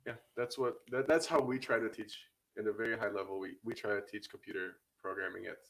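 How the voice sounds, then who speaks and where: A man hosting a casual panel talk, speaking in a halting way with frequent hesitations and restarts. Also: fairly low pitch, fairly even intonation, rough recording. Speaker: host, academy representative.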